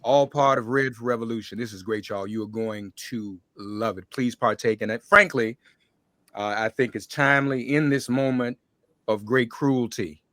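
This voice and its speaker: A man's voice: medium pitch, fairly even intonation, moderate pace, sharp tone, no room sound, studio quality. An American man hosting a conversational interview. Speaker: show host.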